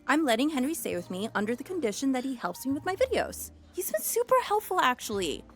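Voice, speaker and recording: An actress playing a flustered, scrappy young woman in a scripted audio comedy, hesitant, stammering and excitable. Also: high-pitched, fast talker. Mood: excited, bossy. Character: flustered, scrappy young woman starting an online dominatrix show. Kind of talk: scripted audio comedy acting and first-person narration.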